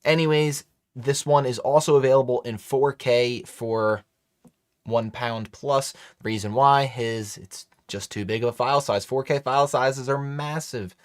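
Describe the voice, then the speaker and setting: Male streamer host, chatty and upbeat, mid-pitched voice, dry close sound. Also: steady tone, unhurried, no echo. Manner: chatty, upbeat, talks to chat. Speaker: livestream host. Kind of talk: casual live stream chat.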